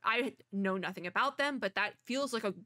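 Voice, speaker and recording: A woman's voice: medium pitch, moderate pace, sharp tone. A woman streamer giving a casual, chatty reaction in a bubbly, rambling, self-deprecating way. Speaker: streamer reacting to a game trailer.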